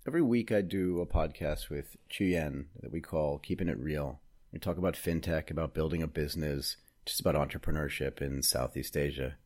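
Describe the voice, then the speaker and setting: Middle-aged male podcast host, chatty, very deep, husky voice. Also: fairly quick, a little mellow. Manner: chatty, friendly, asks long questions. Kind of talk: casual interview.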